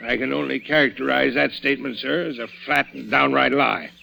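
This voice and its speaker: Gravelly, pompous old businessman. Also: mid-range voice, steady tone, normal speed, sharp tone, slight background noise. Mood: blustering, defensive. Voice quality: gravelly, creaky. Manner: pompous, blustering. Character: pompous, indignant, older wealthy businessman, an uncle. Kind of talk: old-time radio suspense acting. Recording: vintage radio broadcast recording.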